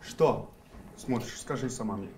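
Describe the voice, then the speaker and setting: A man's voice: mid-range voice, a little slow, darkish tone, slight background noise. A man in his thirties chatting casually in a group, joking and self-mocking. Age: 30s. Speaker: dating show contestant. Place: large dining room indoors.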